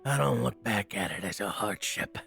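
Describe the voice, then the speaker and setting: Casting: male actor as hard-bitten henchman for audio drama, gravelly and forceful, blunt street talk, short fuse. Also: lowish voice, lively intonation, moderate pace, faint music behind. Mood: earnest, hardened. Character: tough, blunt, street-hardened male henchman, hot-tempered and wry.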